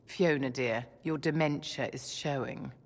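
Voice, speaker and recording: An actress playing a haughty, condescending aristocratic enchantress with languid, mock-sweet delivery. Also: female voice, a bass voice, steady tone, unhurried, heavily acted. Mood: cutting. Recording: long hall reverb.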